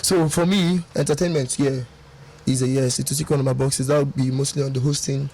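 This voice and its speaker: A man's voice: mid-range voice, fairly even intonation, brisk, a little mellow. A young man, a confident guest on a radio interview who laughs often and talks himself up. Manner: boastful, upbeat, laughs often. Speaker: reality show ex-contestant guest. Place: radio studio.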